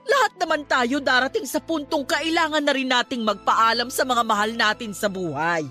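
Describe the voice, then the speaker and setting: A woman's voice: high voice, lively intonation, moderate pace, bright, forceful tone, soft background music. A woman playing a stern but caring middle-aged religious aunt in an emphatic Tagalog radio drama. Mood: pleading, consoling. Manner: emphatic, scolding then consoling.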